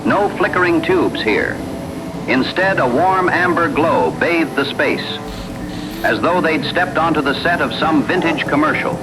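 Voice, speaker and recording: A man's voice: animated, a little slow, loud music behind the voice, low-quality recording. A man reading a scripted horror story as narrator. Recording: loud rumbling radio-like background noise.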